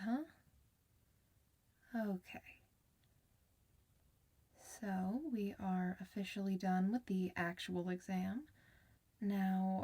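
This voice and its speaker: A woman playing a gentle, reassuring clinician in an ASMR medical roleplay, soft-spoken and soothing, sometimes slipping toward a whisper. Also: mid-range voice. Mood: soothing, encouraging, then cozy, soothing.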